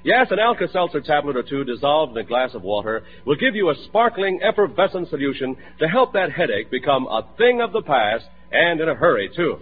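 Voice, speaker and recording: Man, American accent, lively swooping pitch, rough-edged voice, persuasive radio ad read, tinny vintage recording. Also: fairly quick, hardly any pauses. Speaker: commercial announcer.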